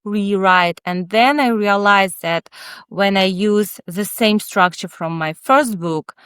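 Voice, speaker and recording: A woman, not an English speaker, answering interview questions in a halting, candid, thoughtful way with many pauses. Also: medium pitch, fairly bright tone, clear, resonant tone, no echo, clean studio sound. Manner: candid, reflective. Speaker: guest author, child psychotherapist.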